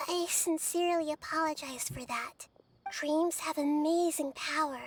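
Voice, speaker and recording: A woman voicing a gentle young girl goddess of wisdom in a video game, speaking in a soft, measured way. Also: very high voice. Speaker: game character.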